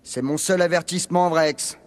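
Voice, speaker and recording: An actor playing a stern, authoritative security officer in a video game. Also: male voice, a little high, steady tone, moderate pace, close to an everyday voice. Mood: stern warning. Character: stern male security officer giving warnings.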